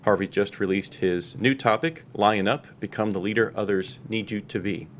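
A man with a low voice speaks as a podcast host, presenting his guest in a scripted, read-out style. He is on a studio mic with a faint rumbling noise underneath. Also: normal speed. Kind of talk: reading a scripted guest introduction, interviewing. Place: small podcast studio with large windows. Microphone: close studio mic with headset.

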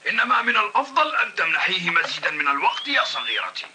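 A man voicing a patient old grandfather in a dubbed cartoon, giving gentle advice. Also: high voice, sing-song, quick pace, music in the background. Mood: advising. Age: older. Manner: patient, advising.

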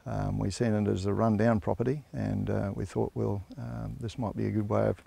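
A man in his sixties with an Australian accent, a grain grower giving halting, unhurried interview answers outdoors on a farm. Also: lowish voice, level tone, vocal fry, mellow, breathy edge. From South Australia. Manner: halting, unhurried, frequent fillers.

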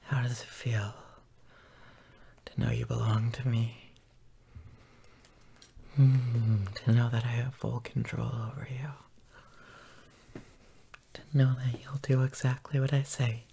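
Slow, breathy man murmuring intimately. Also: mid-range voice, little pitch movement, a little hiss or hum. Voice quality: breathy, half-whispered. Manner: tender, gently dominant, slow murmuring with soft hums. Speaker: audio roleplay performer. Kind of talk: intimate whispered boyfriend roleplay.

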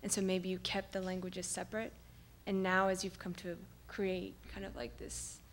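A woman's voice: lowish voice, completely flat delivery, normal speed, a little hiss or hum. A woman in the audience asking a long, hedged question from the floor. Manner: rambling, hedged with fillers.

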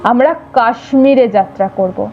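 Woman doing a furious loyal royal servant in an audio story, defiant, high voice, slightly muffled with music. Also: unhurried. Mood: angry, defiant. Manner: defiant, impassioned declaiming. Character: fierce, vengeful loyal leader of royal attendants, male.

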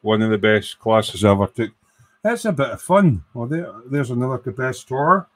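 A man's voice: mid-range voice, sing-song, normal speed, a bit bright. A man doing a casual live build of an electronics kit, thinking aloud and counting parts in a workshop. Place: workbench in a home workshop.

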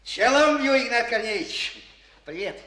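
A fussy, fawning little office manager in a vintage radio drama. His voice sits high and darts up and down. He chatters on eagerly to please. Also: animated, unhurried, bright, forceful tone, full character voice, rough recording. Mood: cheerful. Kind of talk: Soviet radio play acting. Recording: old studio radio recording.

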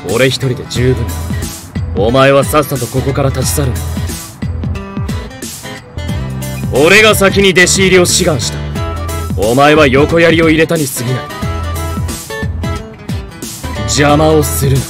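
A man's voice: on the high side, animated, fairly quick, over music, natural voice. Man playing a solemn young cyborg hero. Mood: stern warning. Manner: formal, solemn, clipped. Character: earnest, intense young male cyborg hero, stern and devoted. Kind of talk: Japanese anime game acting.

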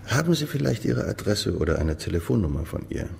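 A restrained amateur detective in a radio drama. He has a deep voice with a creaky edge and probes politely. The room has a long reverb. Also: big pitch swings, brisk, darkish tone, exaggerated voice. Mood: polite, insistent. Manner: questioning, restrained. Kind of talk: German radio crime drama acting. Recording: reverberant room, faint noise.

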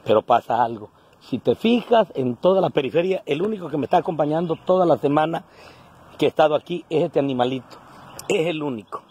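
A man in his forties with a coastal Mexican accent from Guerrero, vlogging on a handheld phone. His voice sits a bit high for a man and is quite rough and husky. He talks in an earthy, colloquial way, like someone addressing his people back home. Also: animated, brisk, a little mellow. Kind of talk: casual selfie vlog monologue to camera. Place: outdoors on a roadside, starting indoors in a house. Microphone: phone at arm's length.

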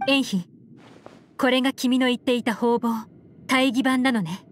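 Casting: actress for composed, sharp-witted female sleuth in anime game, measured and analytical, higher-than-average voice. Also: a little hiss or hum, natural voice. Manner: cool, measured, analytical explaining. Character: composed, shrewd adult woman investigator. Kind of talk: Japanese anime game voice acting. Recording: music under the voice.

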